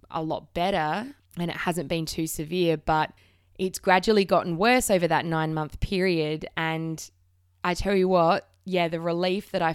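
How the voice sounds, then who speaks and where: A woman in her thirties speaks on her own podcast with a slight cold. Her voice sits lowish and comes through smooth and clean. She talks frankly, swears casually and often restarts her sentences. The sound is dry and close, with almost no room around it. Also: no echo. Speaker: podcast host. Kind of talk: candid solo podcast chat.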